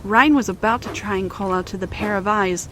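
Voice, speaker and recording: A woman's voice: mid-range voice, fairly quick. Woman narrating over a noisy background. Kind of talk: scripted children's storybook narration.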